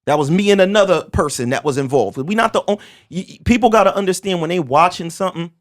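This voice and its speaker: A man in his forties hosting a casual podcast, talking with animated emphasis, street slang and stammered restarts. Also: high voice, fast. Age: 40s. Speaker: podcast host.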